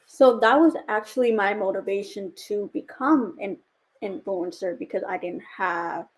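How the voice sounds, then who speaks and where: A woman's voice: mid-range voice, normal speed, poor audio. Sassy young woman who's hard of hearing, chatting as a podcast guest, upbeat. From North Dakota. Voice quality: speech of a hard of hearing speaker. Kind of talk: interview answers.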